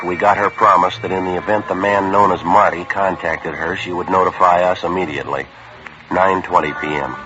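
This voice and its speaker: A deadpan police sergeant. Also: male voice, low-pitched. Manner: flat, clipped, deadpan. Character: terse no-nonsense police sergeant narrator. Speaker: detective narrator. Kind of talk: 1950s radio drama acting. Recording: muffled old radio broadcast.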